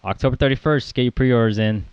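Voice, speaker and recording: A man's voice: mid-range voice, fast talker, darkish tone, smooth, highs cut off. A man co-hosting a casual podcast about music, rambling with plenty of fillers and little laughs. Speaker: podcast co-host.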